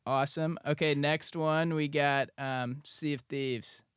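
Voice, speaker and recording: A man with a fairly high, smooth, clear voice runs a casual interview, upbeat and brisk. The sound is close and dry. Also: steady tone, fairly bright tone, no room sound. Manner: upbeat, brisk, quick to laugh.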